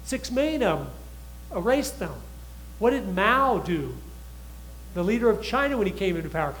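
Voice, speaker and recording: A man's voice: sits high, sing-song, moderate pace, a bit bright, rough recording. A man, a talk show guest, speaking urgently and emphatically, with frequent restarts. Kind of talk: impassioned talk show monologue.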